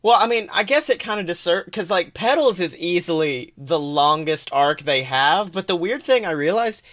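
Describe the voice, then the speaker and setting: Bright-voiced, higher-pitched casual podcast man. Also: normal speed, dry recording, studio quality. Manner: animated retelling with acted-out quotes, casual swearing. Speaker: podcast co-host. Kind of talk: casual fan discussion.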